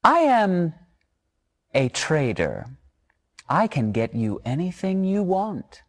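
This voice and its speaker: Slow, sly shady trader. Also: male voice, sits high, sing-song, full character voice. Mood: ingratiating. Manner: insinuating, courtly, measured. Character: sly, smooth-talking shady trader with a cold grudge. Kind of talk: video game cutscene acting.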